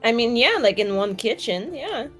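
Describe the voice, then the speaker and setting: A woman's voice: a little high, big pitch swings, normal speed, fairly bright tone, soft background music. A woman improvising in character at a tabletop game, talking casually with blunt, irreverent slang. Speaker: tabletop roleplaying player.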